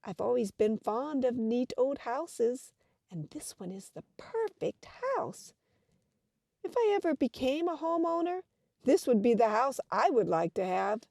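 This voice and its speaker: Casting: actress for inquisitive lady reporter, high and very melodic, formal, theatrical, audio drama. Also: sing-song, unhurried, gravelly, darkish tone, dry recording, clean studio sound, exaggerated voice. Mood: entranced, uneasy. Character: inquisitive, dreamy, headstrong lady magazine reporter.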